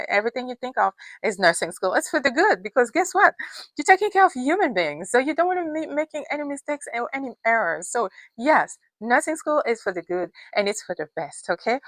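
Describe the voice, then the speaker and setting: A woman's voice: on the high side, a bit bright, no echo, poor audio. A woman, a nurse whose first language is not English, giving emphatic casual advice with many fillers and repeated points.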